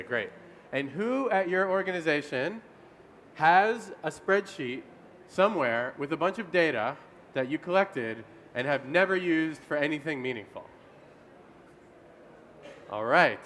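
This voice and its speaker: Upbeat male workshop moderator; a touch high-pitched, faint room noise behind. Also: fairly bright tone. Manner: upbeat, polls the room with quick asides. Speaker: workshop facilitator. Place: conference room.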